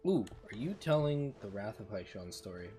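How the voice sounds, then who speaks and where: A man's voice: fairly low pitch, sing-song, normal speed, dark tone, soft background music. A man streaming a video game with informal commentary, thinking aloud as he plays. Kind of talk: casual gameplay commentary.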